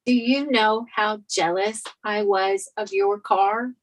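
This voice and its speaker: A woman's voice: medium pitch, fairly even intonation, takes it slowly, natural voice, low-quality recording. A woman playing a spoiled, anxious young rich woman, wistful and hesitant, in a sitcom table read. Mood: earnest, envious.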